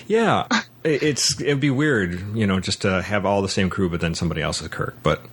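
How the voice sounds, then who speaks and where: A man with a low, deep voice hosts a casual podcast about movies. His phrasing is halting and hesitant, and he often restarts words as he works through a thought. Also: expressive, a bit bright, slightly rough. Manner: halting, hesitant phrasing with repeated word starts. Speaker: podcast host.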